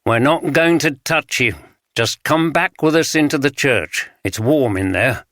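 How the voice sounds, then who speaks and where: A man's voice: mid-range voice, measured pace, fairly bright tone, no echo, clean studio sound, pitched above the performer's own voice. A man voicing a friendly detective sergeant coaxing a wary witness. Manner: coaxing, reassuring.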